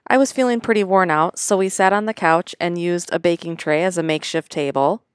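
A woman's voice: on the low side, brisk, clear, resonant tone, dry recording, clean studio sound. A middle-aged woman reading a matter-of-fact voice-over about her weekend. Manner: even, matter-of-fact storytelling. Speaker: vlogger narrating her weekend. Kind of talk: scripted voice-over narration.

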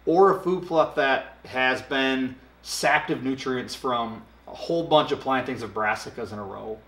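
A man's voice: medium pitch, measured pace. Man explaining a product, roomy echo. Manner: relaxed explaining with hand gestures and filler ums. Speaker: product expert. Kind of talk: casual product talk. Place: dark studio set, indoors.

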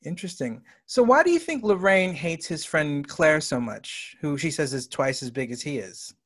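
Man, interviewer, calm probing questions, brief prompts. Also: fairly high pitch, normal speed. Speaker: interviewer, writer-director.

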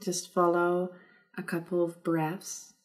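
A woman leading a guided meditation in a soothing, unhurried manner with long pauses. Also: on the low side, monotone.

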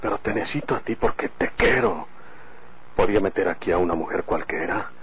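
An actor playing a blunt, self-assured bandit rancher in a Mexican radio drama, with a Mexican accent. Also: male voice, deep voice, brisk, a bit bright, slightly rough, full character voice. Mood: courting, insistent. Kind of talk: golden-age Mexican radio drama acting. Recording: noisy old radio drama recording.

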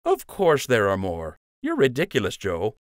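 A man's voice: fairly low pitch, huge pitch swings, moderate pace, dry recording, studio quality, voice pushed up. A man voicing a teasing young male rabbit friend who ribs with a smirk. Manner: smirking, playful ribbing.

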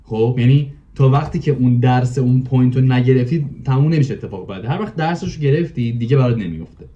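A man chatting casually on a video call, repeating phrases for emphasis. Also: mid-range voice. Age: 20s. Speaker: podcast guest. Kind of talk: casual chat. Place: home room with bookshelf and curtains. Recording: muffled, dull video-call sound.

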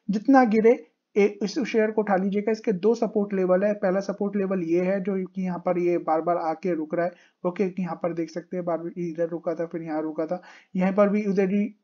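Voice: medium pitch, dark tone, lo-fi sound. A stock market channel host explaining a company in a voice-over over a screen share, keeps pointing things out on the chart and mixes English finance terms into Hindi. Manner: explanatory, constantly pointing at the chart with "देखिए" and "यहाँ पर". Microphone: voice-over recorded separately from screen capture.

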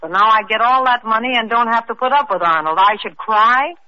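A brash young widow with a high, bright voice, as an actress plays her in an old radio drama. She talks back with a sharp, mocking tongue, and the muffled recording sounds worn. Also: fairly quick, dry recording, close to an everyday voice. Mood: flippant. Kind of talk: 1940s radio acting.

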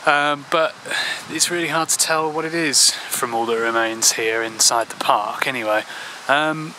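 Guy in his 30s doing a walking travel vlog, kinda halting, normal pitch, outside with noticeable background noise. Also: pushed and bright, poor audio. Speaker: travel vlogger. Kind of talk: casual walking commentary. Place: outdoors in a park and old town streets. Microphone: handheld camera at arm's length. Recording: clear outdoor ambient noise.

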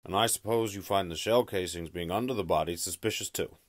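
A man playing a gruff, skeptical police detective who challenges others in an audio drama. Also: low voice, brisk, close to an everyday voice.

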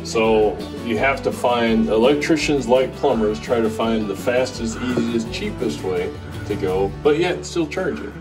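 A man's voice: medium pitch, expressive, a little slow, music in the background. A middle-aged man casually explaining house wiring work, practical and self-joking. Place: home interior.

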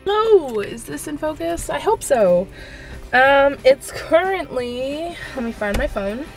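A woman's voice: high-pitched, big pitch swings, takes it slowly, soft background music. Stuffy-nosed young woman vlogging. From Canada. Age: young adult. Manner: chatty, rambling, quiet at night. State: stuffy nose from a cold. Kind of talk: casual daily vlog. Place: bedroom, car and living room at home. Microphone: handheld camera at arm's length.

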